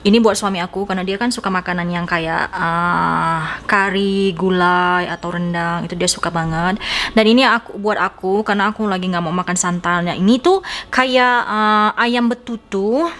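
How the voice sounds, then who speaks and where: A woman's voice: fairly low pitch, fairly even intonation, moderate pace, fairly bright tone. A woman from Indonesia, chatting casually to viewers in an informal, rambling, giggly vlog style. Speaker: vlogger.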